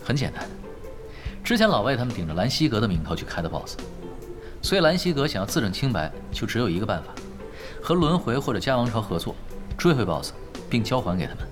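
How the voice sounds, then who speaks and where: A man plays a shrewd young veteran gamer in a radio drama. He is easygoing and mock-modest, with a mid-range voice that moves animatedly through dry teasing, set over clear background music. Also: mid-range voice, sing-song, quick pace, lightly acted. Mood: calm, matter-of-fact. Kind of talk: Chinese radio drama acting.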